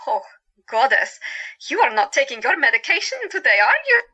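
A woman's voice: high-pitched, very animated, normal speed, pushed and bright, muffled recording, heavily acted. A woman playing a cheeky, unflappable young doctor who is playful and defiant in an audio drama. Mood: teasing.

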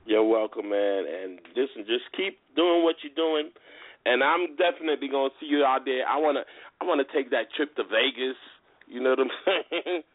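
A man's voice: medium pitch, moderate pace, dry recording. A man hosting a casual talk radio interview in a slangy, conversational way. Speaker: radio show host. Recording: phone-like internet radio with background music.

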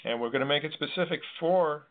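Halting male host on tinny phone line. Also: on the high side. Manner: halting, wordy, thinking aloud. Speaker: interview host. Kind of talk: conversational interview.

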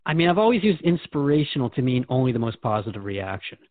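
A man's voice: mid-range voice, big pitch swings, fast, no echo. A man hosting a radio conversation, engaged and reflective, asking questions and paraphrasing what he hears. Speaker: radio show host. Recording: dry, thin phone-like band.

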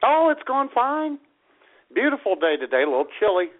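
A man's voice: high-pitched, very animated, normal speed. A man co-hosting an internet radio show, trading folksy, joking banter in casual chat. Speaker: co-host. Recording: tinny phone-like line.

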